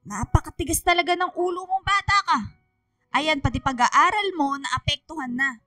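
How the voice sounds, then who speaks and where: A woman's voice: sits high, moderate pace, pushed and bright, no room sound, clean studio sound, soft background music. A woman playing a strict but protective, struggling single mother in a Filipino radio drama. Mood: scolding.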